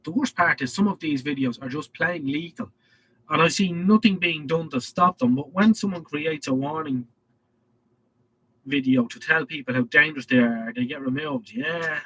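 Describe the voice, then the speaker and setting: A man's voice: a little high, expressive, moderate pace, bright, forceful tone, husky, low-quality recording. A man with an Irish accent and a head cold, hosting a casual live stream and reading out viewer comments in a rambling way. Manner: rambling, reads chat messages aloud.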